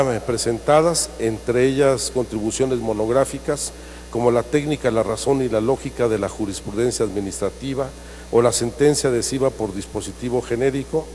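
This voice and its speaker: A man's voice: mid-range voice, a little mellow. Man introducing a speaker in an echoey hall. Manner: formal, with frequent hesitations and self-corrections. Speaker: seminar moderator. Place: large hall in the Senate. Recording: distant echoey hall with faint noise.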